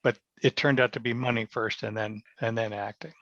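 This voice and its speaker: A man's voice: mid-range voice, fairly even intonation, fairly quick, bright, forceful tone, no echo. An older American man chatting casually and telling anecdotes as a guest in an interview. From small town in New York state, United States. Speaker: podcast guest, actor. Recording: dull, dry, lo-fi sound.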